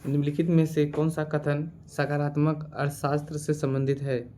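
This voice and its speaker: A man teaching through exam quiz questions, reading options and repeating answers deliberately. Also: on the high side, monotone, warm tone, a little hiss or hum.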